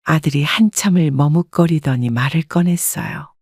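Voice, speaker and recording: A woman in her seventies calmly narrating a reflective first-person life story. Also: low voice, normal speed, no echo, clean studio sound. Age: 70s. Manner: calm, reflective.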